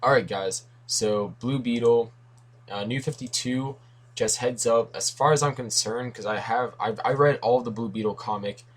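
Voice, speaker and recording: A man's voice: medium pitch, normal speed. A young man hosting a comics talk video in a casual, rambling way, his voice worn from losing it this week. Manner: rambling, self-correcting, lots of asides.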